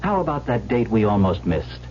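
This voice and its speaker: A man's voice: lowish voice, very animated, moderate pace, a little mellow. An actor playing a brash, carefree young heir who tells his own story in a radio drama, wry and breezy. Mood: eager, coaxing. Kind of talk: 1970s radio drama acting with first-person narration. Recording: dull old radio recording, faint hum.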